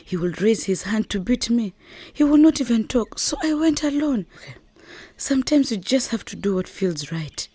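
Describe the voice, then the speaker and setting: Injured young wife, earnest, weary, breathy dark voice, radio drama. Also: female voice, fairly high pitch, expressive, normal speed, no echo. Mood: frustrated, resolute. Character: injured, determined young wife and market trader.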